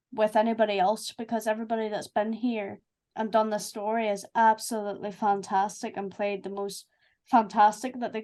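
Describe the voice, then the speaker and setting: A woman, chatting casually and effusively as a voice actor. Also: a little high. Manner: effusive, animated storytelling with acted-out asides. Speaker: voice actor and executive producer. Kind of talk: casual cast chat.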